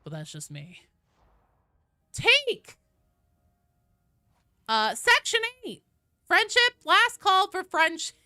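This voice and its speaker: A woman's voice: very high-pitched, huge pitch swings, slow, pauses often, bright, forceful tone, dry recording. A woman chatting casually and expressively with viewers as she hosts a gaming livestream. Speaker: game streamer and host.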